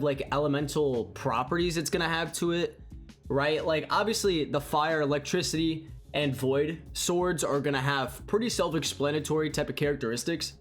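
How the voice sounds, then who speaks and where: Young man, stuffy nose, excited rambling game commentary, higher voice, faint background music. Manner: enthusiastic, rambling with restarts. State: congested with a cold. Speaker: gaming YouTuber.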